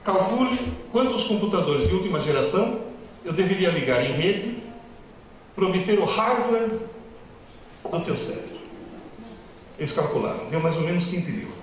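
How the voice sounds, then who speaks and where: Animated lecturer in an echoey hall. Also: male voice, high-pitched, moderate pace, pauses often, far from the mic, a little hiss or hum. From Italy. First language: Italian. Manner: joking, teasing, lively storytelling. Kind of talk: motivational lecture to students and parents. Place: large echoey school hall with an audience.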